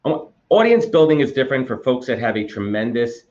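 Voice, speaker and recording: A man's voice: mid-range voice, very animated, darkish tone, dull audio. A man hosting a podcast, a little congested from a cold, talking eagerly and repeating words as he goes. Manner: rapid, enthusiastic, with frequent repeated words. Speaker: podcast host. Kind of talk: conversational interview.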